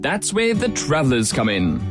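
A New man reading a radio advert in a smooth, reassuring voice at a middle pitch. Loud plucked guitar music plays under him. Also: wildly swooping pitch, moderate pace.

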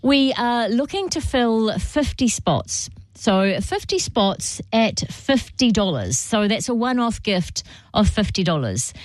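A woman's voice: fairly high pitch, very animated, slow pace, no room sound. A woman hosting a radio fundraising drive, upbeat and persuasive. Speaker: radio host. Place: radio studio.

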